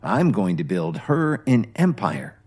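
A man's voice: on the low side, very animated, measured pace, darkish tone, breathy edge, heavily acted. Man as an earnest, determined young husband.

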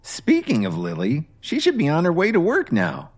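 A man's voice: fairly high pitch, wildly swooping pitch, moderate pace, a little mellow, pitched above the performer's own voice. A man voicing a scornful older mother-in-law who scoffs and orders people around. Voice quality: scoffing, bossy.

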